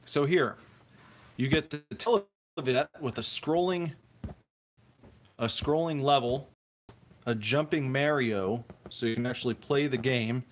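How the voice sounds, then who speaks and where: A man's voice: medium pitch, measured pace, pauses often, a little hiss or hum. A man hosting a laid-back live stream from home, talking into a phone on a tripod. He rambles comfortably and reads viewer messages aloud. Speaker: streamer host. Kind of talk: casual live-stream chat while building. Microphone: phone mic on a tripod.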